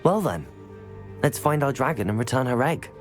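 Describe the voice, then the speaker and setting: A composed young witch student talks in a strikingly deep voice with lively intonation. Polite, supportive and a little dry, this is cinematic fantasy game dialogue over music. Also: normal speed. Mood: supportive, curious. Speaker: video game voice actor. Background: background music.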